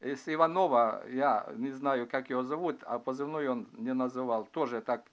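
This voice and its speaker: A man chatting casually as an amateur radio operator in a halting, hesitant way, recorded indoors at his desk. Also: mid-range voice. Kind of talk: casual ham radio chat, asking questions.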